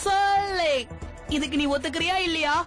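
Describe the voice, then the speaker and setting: A woman's voice: very high-pitched, normal speed, a bit bright, faint music behind. A woman voicing an eager young film talent scout in a dubbed cartoon, speaking urgently and pushily. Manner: urgent and pushy.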